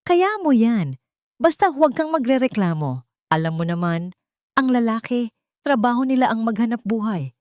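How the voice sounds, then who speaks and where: A woman's voice: a little high, sing-song, moderate pace, a little mellow, dry recording, clean studio sound, pitched above the performer's own voice. Female narrator as an old grandmother, upbeat and oblivious, preaching patience in a romantic audio drama. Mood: insistent, preachy. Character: cheery, oblivious elderly grandmother praising and preaching endurance.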